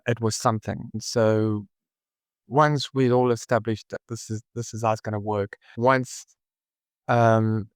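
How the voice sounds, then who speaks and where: A man's voice: lowish voice, darkish tone, clean tone, no echo, studio quality. A South African man with a South African accent, talking reflectively as a podcast guest and often searching for his words. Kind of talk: reflective conversational interview.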